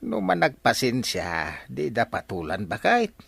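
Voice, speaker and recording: A man's voice: mid-range voice, huge pitch swings, measured pace, gravelly, fairly bright tone, breathy edge, no room sound, full character voice. A man playing a boastful, hot-headed, comical village captain in an Ilocano radio drama, blustering and self-important with a Filipino accent. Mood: defensive. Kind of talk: comedic radio drama acting.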